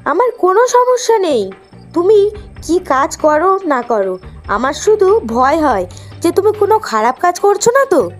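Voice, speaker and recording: A fretful, questioning cartoon housewife, acted by a woman. Her voice is extremely high and swings up and down, over soft background music. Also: very animated, a little slow, full character voice. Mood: worried suspicion. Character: suspicious, fretful young village wife. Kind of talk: cartoon voice acting.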